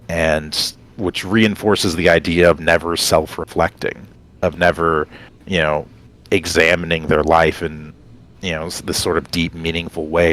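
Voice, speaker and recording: Male co-host, low pitch, casual reflective chat, halting, rambling delivery. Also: fairly bright tone. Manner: halting, rambling, thinks aloud. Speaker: podcast co-host.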